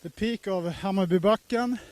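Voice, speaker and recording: A man, reporting live outdoors with a handheld mic while walking up a hill, halting with many pauses. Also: high voice, no room sound. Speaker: trackside race reporter. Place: outdoors on a grassy hillside. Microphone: handheld microphone.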